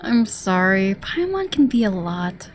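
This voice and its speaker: A woman's voice: medium pitch, very animated, a little slow. A woman streaming a game, reacting casually and informally with a giggly manner. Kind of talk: casual gameplay reaction commentary. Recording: loud game music behind. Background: game music.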